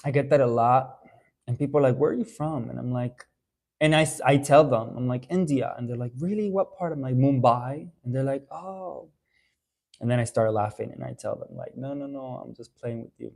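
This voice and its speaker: Young adult man, mid-pitched, hosting a live stream; loose, chatty delivery with frequent fillers and restarts. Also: normal speed, vocal fry, a little mellow. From Dominican Republic. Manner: chatty, reads out viewer comments, many fillers and restarts. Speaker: haircare brand owner answering live viewers. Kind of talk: casual live-stream chat.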